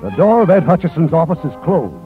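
Male radio drama narrator, formal, theatrical, animated pitch, thin period sound over organ music. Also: quick pace. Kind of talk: 1950s radio scripted narration. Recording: very tinny vintage radio recording.

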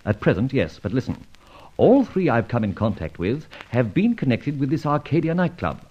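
An actor playing a suave, debonair gentleman adventurer in an old radio serial, urbane, wordy and teasing in a mock-formal way. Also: medium pitch, sing-song, moderate pace, breathy edge, muffled recording. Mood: brisk, assured.

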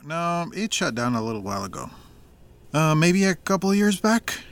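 A man's voice: a little high, sing-song, normal speed, a bit bright. A man playing an easygoing older farmer and family patriarch in a naturalistic audio drama. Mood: reminiscing.

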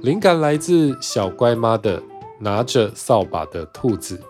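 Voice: medium pitch, very animated, unhurried, darkish tone, soft background music. A storyteller narrating a scripted children's tale in simple storybook phrasing.

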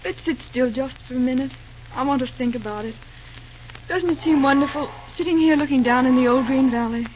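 A muffled, noisy vintage radio recording of a woman acting a young bride-to-be with a high voice. She is genteel and coy, sweetly teasing her man while quietly holding her ground. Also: fairly quick, lightly acted. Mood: dreamy delight. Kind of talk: old-time radio drama acting.

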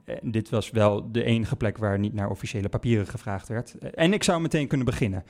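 A man's voice: lowish voice, a little fast, a little mellow, natural voice. A man playing a hapless, bumbling park employee who narrates a comic live audio play in a hesitant way. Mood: sheepish. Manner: hesitant, bumbling, echoes what he is told. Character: hapless, unqualified young male holiday park employee. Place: theatre stage before live audience. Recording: music behind, live theatre stage.

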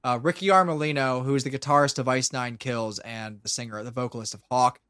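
A man's voice: medium pitch, steady tone, a little fast, a bit bright, clean tone, dry recording, studio quality. A man in his late twenties with an American accent chats casually on a podcast, rambling, swearing and often interrupting himself. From Pennsylvania, US. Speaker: podcast guest, show creator and musician.

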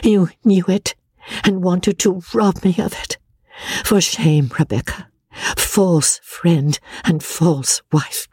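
Lady reading a hurt young wife calling out her friend, husky and shaky, slow and dry. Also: lowish voice, expressive, a little mellow, no echo, voice pushed up, full character voice. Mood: reproachful. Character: anguished young wife confronting a false friend.

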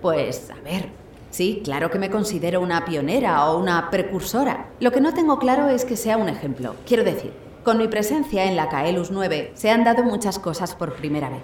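Woman acting low-voiced, modest Spanish astronaut, echoey room. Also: expressive, moderate pace, a little mellow, natural voice. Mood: reflective, modest. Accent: Spanish from Spain. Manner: hesitant, conversational, sincere. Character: overwhelmed, modest blind woman astronaut, pioneering mission captain. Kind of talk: audio drama press conference speech. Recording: roomy echo, slight background noise.

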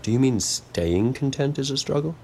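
A man playing a guarded, gloomy intellectual in a naturalistic audio drama, speaking in a dry, sceptical deadpan. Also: medium pitch, moderate pace, a little hiss or hum, close to an everyday voice. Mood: dry, probing.

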